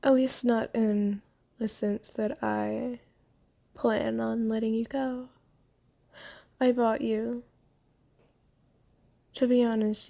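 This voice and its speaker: A woman playing a gentle, genteel vampire in an ASMR roleplay, soft-spoken, comforting and unhurried. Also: a little high. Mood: coolly apologetic. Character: gentle, soothing, genteel vampire who bought a captive. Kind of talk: ASMR roleplay, scripted character acting.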